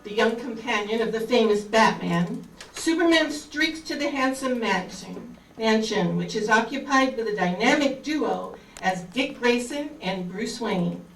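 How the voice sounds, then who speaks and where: Fairly high female announcer, roomy sound. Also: animated, unhurried, a bit bright. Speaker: narrator. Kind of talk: scripted radio drama narration. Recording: distant echoey room, faint noise.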